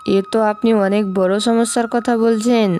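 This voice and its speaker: A woman's voice: on the high side, fairly even intonation, normal speed, mellow, slight background noise, natural voice. A woman voicing an anxious, deferential poor village wife in a cartoon moral story. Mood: worried. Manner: fretful, deferential. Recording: music behind the voice.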